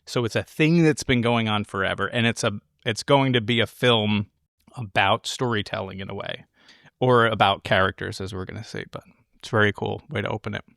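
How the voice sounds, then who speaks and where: A man's voice: on the low side, a little fast, creaky, fairly bright tone, no room sound, clean studio sound. A man hosting a film podcast, explaining his take in casual, think-aloud analysis. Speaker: podcast host.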